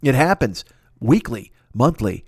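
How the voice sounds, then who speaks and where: A man hosting a podcast in a rambling comic monologue, loud-mouthed, digressive, self-deprecating and freely swearing. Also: medium pitch, big pitch swings, a little slow, breathy edge, dry recording, studio quality. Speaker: podcast host.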